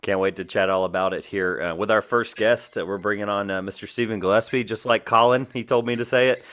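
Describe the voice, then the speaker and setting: A man's voice: on the low side, steady tone, fairly quick, fairly bright tone. A man from North Carolina hosting a podcast in a casual, informal and self-deprecating way. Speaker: podcast host.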